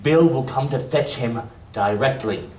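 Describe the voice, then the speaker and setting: A man's voice: mid-range voice, a little slow, darkish tone, natural voice. A man playing the scheming master of a thieves' gang in a staged radio play, sly and coaxing. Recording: echoey, noisy stage recording from far off.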